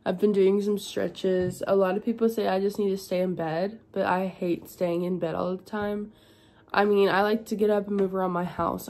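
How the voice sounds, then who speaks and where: A young woman, hoarse and congested from being sick, chatting tiredly in a home vlog. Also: a little mellow. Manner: tired, low-energy, chatty. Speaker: vlogger. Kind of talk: casual vlog chat. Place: at home indoors.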